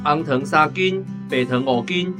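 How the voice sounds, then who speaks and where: An actor playing a hot-tempered, cheeky young soldier in a radio drama, blunt and cocky. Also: male voice, fairly high pitch, a little slow, over music, lightly acted. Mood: casual. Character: hot-tempered, cheeky young male army conscript on errands. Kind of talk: Taiwanese-language radio drama acting.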